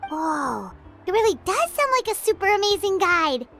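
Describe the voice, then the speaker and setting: A woman voicing a tiny, excitable, childlike fairy companion in an anime game, with a squeaky voice. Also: normal speed, soft background music, exaggerated voice. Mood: curious, concerned. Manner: excitable, refers to self in third person. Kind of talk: scripted anime game voice acting.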